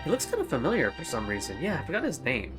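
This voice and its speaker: A woman's voice: rumbling low, huge pitch swings, quick pace, over music. A woman playing through a video game, reacting casually and reading dialogue aloud in an informal, musing way. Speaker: gamer doing a playthrough.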